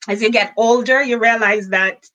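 A woman's voice: a little high, steady tone, moderate pace, fairly bright tone. A woman from rural Jamaica with a Jamaican accent, chatting casually and cheerfully as an interview guest. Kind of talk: casual chat.

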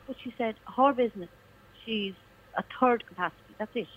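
A woman's voice: fairly high pitch, fairly quick, breathy edge. An Irish woman calling in to a radio show, chatting and telling a story about a friend. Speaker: caller. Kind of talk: casual phone-in chat. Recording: dull phone line.

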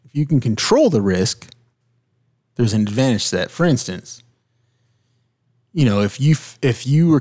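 A man's voice: lowish voice, darkish tone. A man hosting a casual podcast, emphatically explaining a point about risk and often cutting in with "hold on.". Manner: emphatic, keeps interrupting to make his point. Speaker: podcast host. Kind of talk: casual chat.

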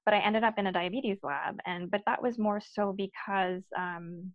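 A woman's voice: medium pitch, normal speed, dry recording. A young woman from Guyana, a guest on a podcast, talking earnestly with many fillers. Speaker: guest, recent PhD graduate. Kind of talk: casual podcast interview. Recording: dull, dry lo-fi sound.

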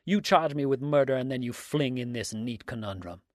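A man's voice: medium pitch, expressive, moderate pace, darkish tone, no room sound, close to an everyday voice. Guy doing a sneering murder suspect from an old story, sarcastic and mocking, big theatrical exclamations, really dry sound. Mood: taunting. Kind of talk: dramatic storybook reading.

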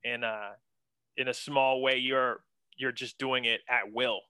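Bright, crisp-voiced laid-back young man. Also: medium pitch, steady tone, measured pace, dry recording. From western Pennsylvania. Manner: laid-back, slangy, enthusiastic, says man a lot. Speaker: guest, senior art director. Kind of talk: casual interview chat.

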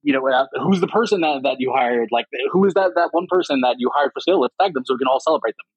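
A man co-hosting a casual podcast, explaining things with halting, repeated words. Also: mid-range voice, lively intonation, breathy edge, no echo, low-quality recording. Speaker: podcast co-host. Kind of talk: casual chat and anecdotes.

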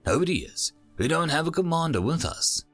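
A man's voice: fairly high pitch, very animated, a little fast, fairly bright tone, faint music behind, voice pushed up. A man voicing a big, earnest male alien fighter who talks formally and plainly. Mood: earnest unsure. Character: large non-human alien male fighter, earnest, flustered.

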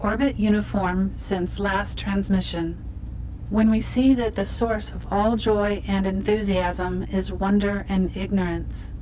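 A woman's voice: medium pitch, steady tone, a little slow, a little mellow, natural voice. A woman voicing an impassive satellite computer in a radio play, with formal, clipped announcements. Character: impassive female computer voice relaying satellite transmissions. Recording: dull lo-fi sound, faint noise.

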